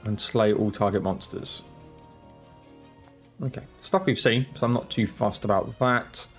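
A man's voice: on the low side, measured pace, faint music behind. A man hosting a casual let's-play, congested from a cold, talking in a laid-back, muttering, self-deprecating way into a desk microphone. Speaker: gaming channel host. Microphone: desk microphone, off camera.

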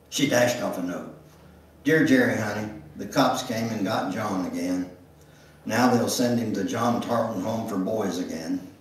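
An older man gives a dramatic memoir reading in a church, theatrical and deliberate, drawing out words and pausing for emphasis. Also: fairly low pitch, distant mic. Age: older, 70s or above. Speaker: guest speaker reading at a church. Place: church sanctuary, indoors at the front. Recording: roomy, echoing church sound from afar.

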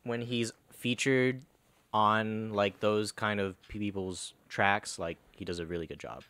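A young man co-hosting a podcast from a living room, chatting in a relaxed, jokey, slangy way on a boom mic. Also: a little slow, no echo. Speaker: podcast co-host. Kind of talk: casual chat. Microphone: boom mic on arm.

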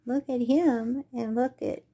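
A woman voicing excited young possum siblings in a children's story, raising her pitch and volume. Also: slow pace, husky. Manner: bright, raised, excited delivery.

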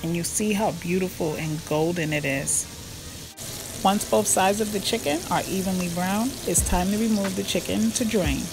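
A woman's voice: medium pitch, moderate pace, background noise. A woman hosting her cooking channel, talking viewers through a recipe in a chatty, upbeat voice-over. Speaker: cooking blogger and channel host. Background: music.

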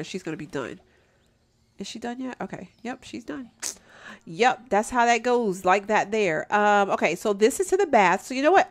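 Young woman, gameplay commentator, nasal stuffy-nose tone, easygoing and chatty, home desk mic. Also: medium pitch. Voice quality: nasal from allergies. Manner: chatty, addresses viewers as y'all, laughs often. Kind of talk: casual let's play commentary.

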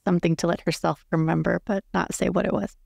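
A woman's voice: fairly low pitch, little pitch movement, fast, vocal fry, darkish tone, no echo, clean studio sound. A woman playing a tabletop roleplay game, casually narrating her character's choices and thinking aloud.

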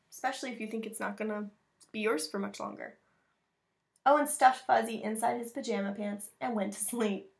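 Expressive American woman reading to children. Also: mid-range voice, gravelly. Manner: expressive, explains things simply for children. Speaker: teacher reading a picture book to her class. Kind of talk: children's read-aloud with chatty asides. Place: small room at home with bookshelves.

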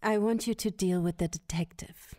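Woman acting a cold, dark-voiced crime boss. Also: fairly low pitch, normal speed, no room sound, studio quality, natural voice. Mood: cold command. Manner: curt, imperious. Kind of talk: crime audio drama acting.

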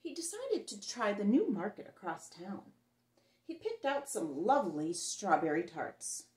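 A middle-aged woman performs a read-aloud for little children. Her pitch moves widely and she leans into dramatic emphasis and pauses. The room adds noticeable echo around her voice. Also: big pitch swings, darkish tone. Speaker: children's storyteller reading a picture book aloud. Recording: roomy echo on the voice.

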